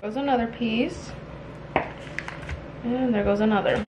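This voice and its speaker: Casting: young female home baking vlogger, fairly high voice, informal and chatty, clearly noisy kitchen recording. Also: unhurried. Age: young adult. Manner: informal, chatty, occasionally crude. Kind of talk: casual instructional chat to viewers. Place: small home kitchen, indoors.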